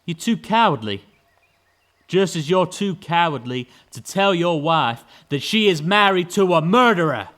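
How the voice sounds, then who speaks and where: A man's voice: fairly high pitch, lively intonation, measured pace, a bit bright. An actor playing a shifty, defiant male ex-convict and forger in an audio stage drama, with a manner that wavers between evasive and sneering. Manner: hesitant, evasive, then sneering.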